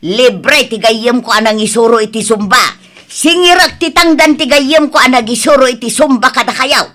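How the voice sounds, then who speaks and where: A woman playing a lively, exclaiming mother-in-law in an Ilocano radio-style comedy drama. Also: a little high, moderate pace, lightly acted. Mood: bossy.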